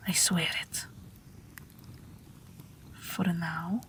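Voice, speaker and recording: A woman playing a gentle, wry, protective night witch in an intimate audio roleplay, speaking softly and breathily, often near a whisper. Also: fairly low pitch, little pitch movement, slight background noise. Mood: tender, protective. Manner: slow, soft, tender reassurance.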